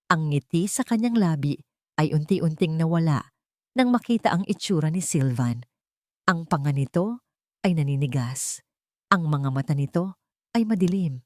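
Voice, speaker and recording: A Filipino woman delivers dramatic scripted story narration. Her voice sits on the low side, and the recording is dry and close, with little room sound. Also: lively intonation, normal speed, long pauses, a little mellow, no echo.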